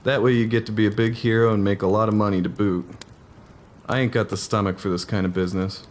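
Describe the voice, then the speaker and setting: A man playing a doubting, plainspoken trooper in a western dramatization. His voice is on the low side, with folksy frontier wording. A faint layer of ambient noise runs underneath. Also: little pitch movement, fast, close to an everyday voice. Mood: skeptical. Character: skeptical but ambitious cavalry private. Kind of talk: historical dramatization dialogue.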